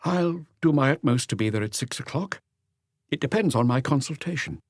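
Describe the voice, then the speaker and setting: A man's voice: medium pitch, animated, normal speed, no echo, studio quality, pitched above the performer's own voice. A man voicing a nervous, deferential doctor who answers police questions in a hesitant, guarded way for an audiobook. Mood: reserved.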